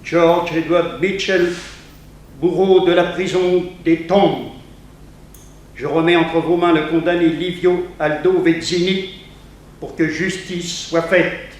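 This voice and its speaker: A man's voice: a little high, steady tone, slow. A French man acting in a 1960s radio drama as a stern official presiding over an execution, declaiming ceremonially. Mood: solemn, stern. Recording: very echoey hall, faint noise.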